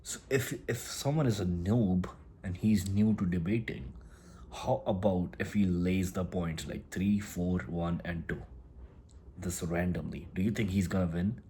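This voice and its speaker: A man with a very low voice gives a casual motivational talk in English, which is not his first language. He rambles, circles back and restarts his phrases as he goes. A faint hum of ambience sits behind him. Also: measured pace, warm tone. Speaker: self-improvement vlogger.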